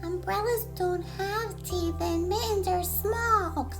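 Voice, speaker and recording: A woman voicing a bossy, lively little girl groundhog in a children's storybook. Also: takes it slowly, over music. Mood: pleading, scolding. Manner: shrill, insistent, pestering.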